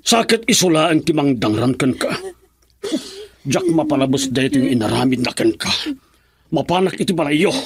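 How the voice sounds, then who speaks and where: A man's voice: a little high, sing-song, normal speed, vocal fry, crowd noise. A man playing an angry, protective middle-aged father in a radio melodrama, speaking indignantly. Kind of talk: melodramatic radio drama acting.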